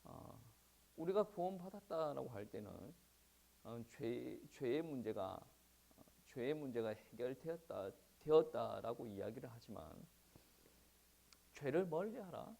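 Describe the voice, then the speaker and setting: A male pastor with a Gyeongsang Korean accent preaching a sermon at a church service, asking the congregation rhetorical questions and pausing on "eo" fillers. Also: mid-range voice, big pitch swings, creaky, dark tone.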